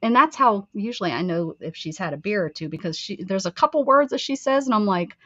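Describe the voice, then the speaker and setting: A woman's voice: medium pitch, animated, a little fast, a bit bright, no room sound, lo-fi sound. A woman with a mixed northern and Southern accent, chatting casually and rambling on a livestream, laughing often. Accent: mixed Pittsburgh and Texas. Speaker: craft channel host.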